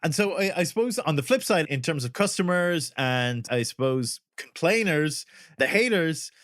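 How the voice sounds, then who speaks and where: A man's voice: fairly high pitch, lively intonation, moderate pace, fairly bright tone, no room sound, clean studio sound. A man hosting a podcast interview, putting questions conversationally with somewhat tentative phrasing.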